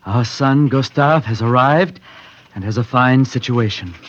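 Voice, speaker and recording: A male actor playing a devoted husband reading out a persuasive letter to his wife, measured, earnest and formal, in an old-time radio drama. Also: mid-range voice, lightly acted. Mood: earnest, urging. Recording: muffled old radio recording.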